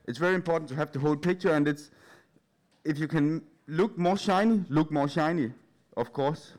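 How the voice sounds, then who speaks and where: A man from Denmark with a Danish accent, giving an informal, anecdotal talk in a nervous, hesitant, halting and giggly way. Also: a little high, a little mellow. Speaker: speaker at a live event. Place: in front of a large live audience.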